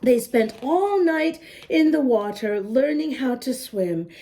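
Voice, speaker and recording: A woman reading a picture book aloud to young children, expressive and instructive, with asides spoken straight to the little listeners. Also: high-pitched, slow. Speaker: children's story reader.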